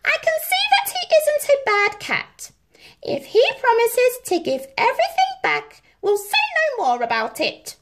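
A woman's voice: very high voice, wildly swooping pitch, a little slow, fairly bright tone, voice pushed up, exaggerated voice. A woman voicing an imperious, haughty old queen in a children's storybook. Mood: stern, forgiving. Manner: imperious, regal. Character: indignant, haughty old queen who softens.

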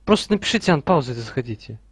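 A man's voice: mid-range voice, expressive, very fast, husky. A young man arguing casually with teammates over gaming voice chat with slight noise and clicks. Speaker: team player in online shooter match.

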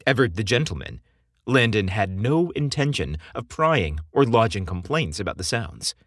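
A man hosting and narrating a scripted story podcast in a theatrical, expressive storytelling style. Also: on the low side, moderate pace, no room sound, clean studio sound. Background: music behind the voice.

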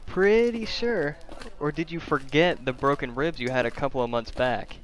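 A man's voice: on the high side, moderate pace, a little hiss or hum, natural voice. A man playing a loyal but skeptical yakuza sidekick with a wry, teasing manner in an anime-style audio drama.

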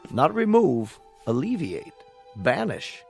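An actor playing a courteous, persuasive corporate salesman in a radio drama, with a polished, flattering manner. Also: on the high side, slow pace, gravelly, soft background music, heavily acted.

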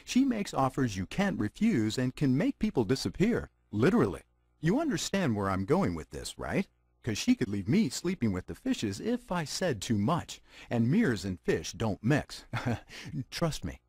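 A chatty, sardonic fairy-tale storyteller for a game. His voice is husky and very lively in pitch. It is recorded dry and close. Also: measured pace, dry recording, exaggerated voice. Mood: sly, confiding. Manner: conversational, sardonic asides. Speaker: storybook narrator. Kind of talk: comic storybook narration.

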